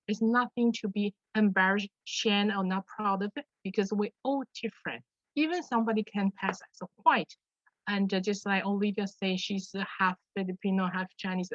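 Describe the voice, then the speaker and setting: A woman's voice: medium pitch, dry recording. Earnest woman, dry dull audio. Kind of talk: panel discussion on video call. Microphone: video call.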